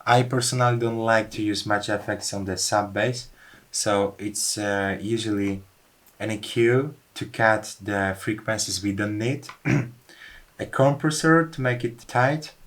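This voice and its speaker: A man's voice: on the low side, fairly bright tone. A man giving a casual tutorial as a music producer, with informal, slightly non-native phrasing. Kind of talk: casual track breakdown tutorial. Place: music studio. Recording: music playing behind the voice.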